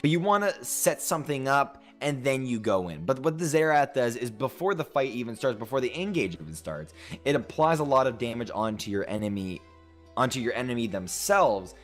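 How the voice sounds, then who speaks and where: A young man casting an esports match as color commentator, explaining strategy in a lively, animated way. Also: mid-range voice, fairly quick, soft background music. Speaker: color caster.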